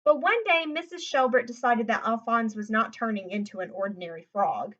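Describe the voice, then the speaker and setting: High-voiced woman reading a children's storybook. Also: a little fast, a bit bright, muffled recording. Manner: explains simply, as to young children. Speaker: read-aloud host. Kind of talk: picture book read-aloud with chatty asides. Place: indoors at home, sitting on the floor.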